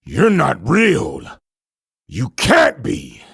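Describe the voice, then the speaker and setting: A hardened killer, played by a man in a comic dub. He speaks slowly in a gravelly, badly rasped voice, full of scorn and defiance, sneering at whoever faces him. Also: medium pitch, wildly swooping pitch, fairly bright tone, dry recording, studio quality, heavily acted. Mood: scornful disbelief. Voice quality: gravelly, raspy. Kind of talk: comic dub dramatic acting.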